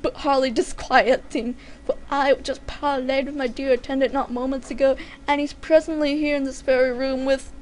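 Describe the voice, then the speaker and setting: A woman's voice: high voice, steady tone, moderate pace, hardly any pauses, darkish tone, natural voice. A woman voicing a pompous, melodramatic young male villain pony captain with a grandiose, growling delivery. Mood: booming, boastful. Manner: grandiose, melodramatic, archaic wording.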